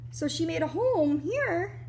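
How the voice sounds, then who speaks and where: A woman reading a children's picture book aloud like a preschool teacher, animated and explanatory, with little asides to young listeners. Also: very high-pitched, unhurried, creaky, a little hiss or hum.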